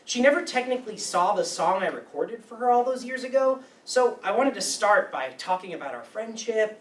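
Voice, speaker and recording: An earnest, lovestruck young guy played by an actor in a play reading, awkward and hesitant. The pitch moves around a lot, and the voice is picked up from a distance in an echoey room. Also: natural voice. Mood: wistful. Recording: roomy, distant mic, faint noise.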